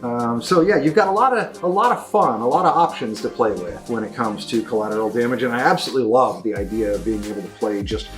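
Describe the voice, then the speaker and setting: A man's voice: mid-range voice, lively intonation, quick pace, few pauses, faint music behind. A middle-aged man giving a casual, enthusiastic and discursive review of new tabletop games as a store presenter. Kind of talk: casual product review talk to camera.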